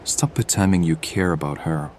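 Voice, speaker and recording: A man's voice: low voice, moderate pace, darkish tone, a little hiss or hum, natural voice. A man acting a bitter, accusing, distrustful hireling in an audio drama.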